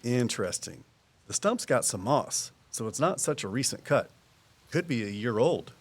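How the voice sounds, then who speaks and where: Folksy small-town conservation man, medium-pitched. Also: big pitch swings, moderate pace, breathy edge. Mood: measured, puzzled. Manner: folksy, patient, explanatory. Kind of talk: audio drama dialogue.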